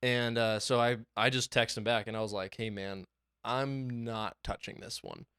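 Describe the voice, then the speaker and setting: A young man co-hosting a podcast, talking excitedly and swearing a lot as he rambles through stories about mixing music in his small home studio. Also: mid-range voice, moderate pace, clean tone, no room sound. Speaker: podcast co-host and music producer. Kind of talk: casual chat.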